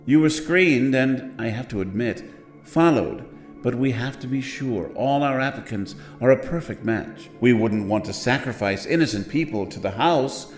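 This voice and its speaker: Horror audio drama, male actor as haunted-inn host, courteous yet menacing, mid-pitched and very animated. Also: moderate pace, faint music behind, lightly acted. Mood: coolly menacing. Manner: formal, theatrical, ominously polite.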